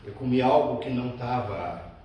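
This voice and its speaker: A man with a southern Brazilian accent from Rio Grande do Sul gives personal testimony to a group in measured short phrases with pauses. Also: medium pitch, mellow. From Santa Maria, southern Brazil. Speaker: spiritist medium, guest speaker. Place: echoey meeting room with a group. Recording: very echoey room, distant mic, clear noise.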